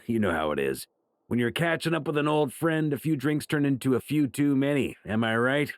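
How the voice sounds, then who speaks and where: Jovial roguish knight commander for a game, male actor: middle-aged, casual drawl, very lively mid pitch, light music. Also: a little fast, dry recording, lightly acted. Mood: weary, sheepish. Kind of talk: anime game voice acting.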